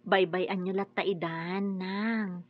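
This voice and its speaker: Woman acting a husky, loyal Ilocano daughter. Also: lowish voice, fairly even intonation, slow, dry recording, phone-quality sound. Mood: concerned. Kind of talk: Ilocano radio drama acting.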